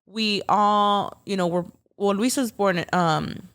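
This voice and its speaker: A woman's voice: medium pitch, slow pace, a bit bright, clear, resonant tone. A young woman co-hosting a casual podcast, chatty and full of fillers, with Spanish as her first language. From United States, Mexican parents. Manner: chatty, swears, many fillers. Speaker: podcast co-host. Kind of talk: casual chat.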